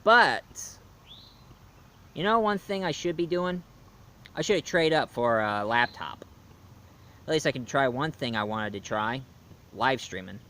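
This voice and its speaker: A man hosting a casual movie review show, rambling with lots of fillers and restarts and swinging between loud bursts and quiet asides. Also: medium pitch, expressive, a little slow, pauses often, a little hiss or hum.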